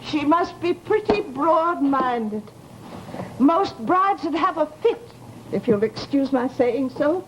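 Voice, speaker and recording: A gossipy American landlady in a vintage radio chiller. Her voice is high and her talk is folksy and exclamatory, quick to take offense. The old recording has some noise under it. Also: animated, lo-fi sound. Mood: gossipy, amazed. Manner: gossipy, folksy, exclamatory, huffy when slighted. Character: chatty, nosy, easily offended landlady, Missus Hawkins. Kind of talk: old-time radio horror drama acting.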